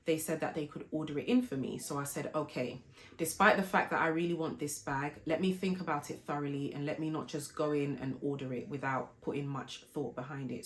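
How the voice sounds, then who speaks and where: A woman, hoarse from a flu, chatting politely as a vlogger telling a shopping story before an unboxing. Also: sits low. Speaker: fashion and lifestyle vlogger. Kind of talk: casual unboxing vlog chat.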